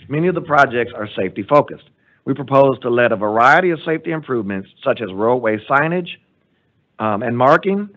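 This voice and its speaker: A man gives a formal report at a board meeting, reading steadily from his slides. His voice sits in an ordinary middle pitch. The sound is thin and tinny, like a phone line. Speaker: transportation department director presenting a report.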